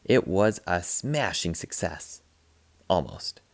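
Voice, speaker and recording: A man reading a children's picture book aloud like a teacher, in an expressive, playful way. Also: sits low, slow, gravelly, no room sound. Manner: expressive, playful, acts out sound effects.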